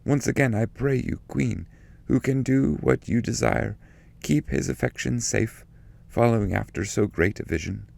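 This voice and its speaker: A man's voice: mid-range voice, moderate pace, creaky, darkish tone, pitched above the performer's own voice. A man reading epic poetry aloud, voicing a reverent holy man in solemn, pleading, declamatory prayer. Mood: earnest pleading. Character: reverent saintly holy man praying to the Virgin. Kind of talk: dramatic poetry reading, audiobook.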